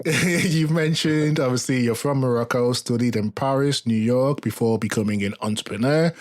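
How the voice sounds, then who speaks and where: A man speaks as the host of an interview podcast. His voice sits at an average male pitch. The recording is dry, with hardly any room sound. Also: dry recording.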